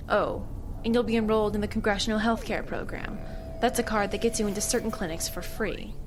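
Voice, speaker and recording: A shrewd female government agent in an audio drama, played by an actress, controlled and faintly sardonic. Her voice sits at an average pitch for a woman, with clear ambient noise around her. She comes across as cool and evasive. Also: natural voice. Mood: assured, dry.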